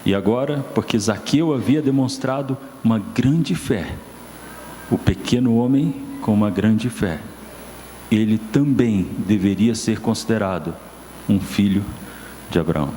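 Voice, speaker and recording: A man's voice: fairly low pitch, animated, unhurried, pauses often, warm tone. A male pastor delivers a sermon in a church, his voice carrying a long hall reverb over a faint background hiss. He builds his points with rising, emphatic questions before calling a guest up to talk. The recording has the open, echoing feel of a sanctuary. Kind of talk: sermon and stage interview.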